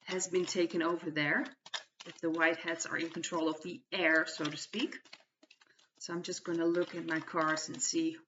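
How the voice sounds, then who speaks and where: A woman from the Netherlands with a Dutch accent, hosting her own channel and talking through a tarot card reading in a hesitant, halting way that often restarts phrases. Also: lowish voice, fairly bright tone. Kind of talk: casual spoken tarot reading monologue. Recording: distant, dull lo-fi mic.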